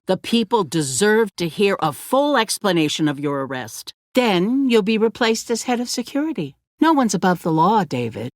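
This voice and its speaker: An actress playing a calculating, self-righteous leader of a survivor community in a video game, speaking in a measured, condescending, politician-like way. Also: female voice, medium pitch, big pitch swings, no echo, clean studio sound, natural voice. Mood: composed, authoritative. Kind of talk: dramatic video game voice acting.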